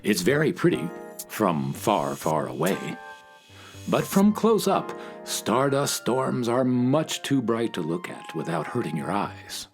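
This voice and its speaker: Animated man narrating a children's story. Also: medium pitch, takes it slowly, soft background music. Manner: addresses young listeners directly.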